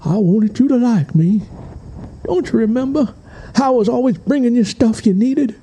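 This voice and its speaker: A man's voice: extremely high pitch, moderate pace, mellow, husky. A man playing a possessive, menacing Southern suitor in an audio drama, insistent and emphatic. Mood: possessive, coaxing. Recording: music behind the voice. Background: music.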